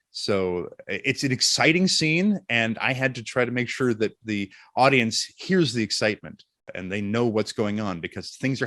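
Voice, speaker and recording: A man's voice: on the low side, pushed and bright, no room sound, studio quality. A man talking conversationally as an interview guest, explaining his voice work thoughtfully. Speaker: voice actor and narrator, interview guest.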